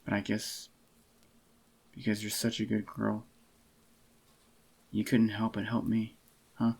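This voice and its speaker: A man doing a soft-spoken, intimate ASMR roleplay as an adoring, possessive boyfriend, in a slightly groggy, unhurried murmur. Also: fairly low pitch, completely flat delivery, vocal fry, husky. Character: adoring possessive young boyfriend, tender and clingy. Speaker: ASMR voice actor.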